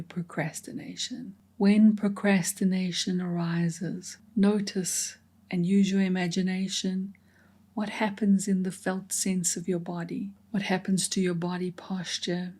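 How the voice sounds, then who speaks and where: A woman leading a guided meditation in a slow, gentle and soothing way, often dropping into soft whispers between reflective pauses. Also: on the low side.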